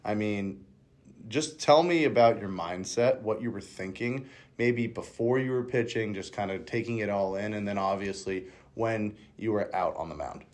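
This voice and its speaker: A young man co-hosts a campus sports podcast and asks his questions in a loose, rambling way. His voice sits on the low side and keeps a casual tone. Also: moderate pace. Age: young adult. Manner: loose, filler-heavy questions with lots of "kinda" and "uh". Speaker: co-host, college pitcher.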